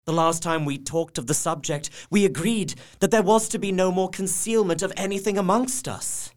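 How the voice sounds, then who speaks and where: A man's voice: sits high, normal speed, natural voice. An actor playing a devoted young husband, narrating his diary in an earnest, subdued manner. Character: young husband Jonathan Harker, anxious, devoted. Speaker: narrator-diarist. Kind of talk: audio drama narration.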